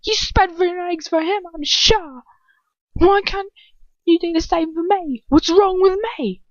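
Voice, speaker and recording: A woman's voice: very high-pitched, a little slow, no echo, highs cut off, voice pushed up. A woman voicing a menacing male wizard villain in a storybook reading, a crude bully with a mocking, hissing delivery. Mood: furious, hissing.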